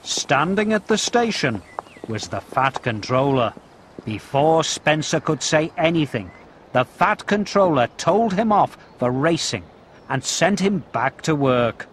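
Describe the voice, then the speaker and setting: Animated, unhurried man narrating for children. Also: on the high side, heavy background noise. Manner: addresses young listeners with questions and countdowns. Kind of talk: scripted children's story narration. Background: music.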